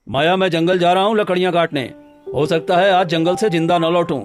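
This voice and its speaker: A man acts a gentle cartoon woodcutter. His voice is fairly high, and he sounds earnest, anxious and a little hesitant. Faint music plays behind him. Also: steady tone, a little fast, lightly acted. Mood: worried. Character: gentle, anxious village woodcutter, trusting husband. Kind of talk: scripted Hindi cartoon story dialogue. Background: background music.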